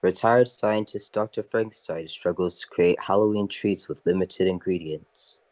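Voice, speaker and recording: A man's voice: deep voice, moderate pace, a little mellow, no echo. An older man giving scripted narration for a radio play.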